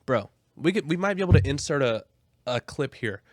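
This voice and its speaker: A man's voice: medium pitch, lively intonation, a little fast, no echo, clean studio sound. A man co-hosting a podcast in casual comic chat, slangy and sweary, acting out hypothetical scenes. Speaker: podcast co-host.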